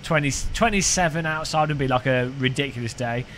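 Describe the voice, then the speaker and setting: A man's voice: mid-range voice, brisk, fairly bright tone, a little hiss or hum. A man, chatting casually on a sim racing live stream, rambling and joking with his chat. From United Kingdom. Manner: rambling, joking, frequent restarts.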